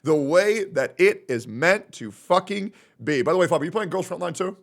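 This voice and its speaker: A man in his thirties hosting a casual group chat, hyped and profane in fast banter. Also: high-pitched, expressive, vocal fry. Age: 30s. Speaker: podcast host.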